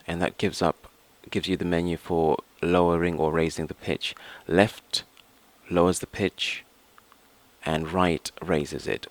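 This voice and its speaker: A man narrating an informal software tutorial step by step, hesitating and repeating himself as he goes. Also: low voice. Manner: hesitant, repetitive, explains step by step. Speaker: tutorial presenter.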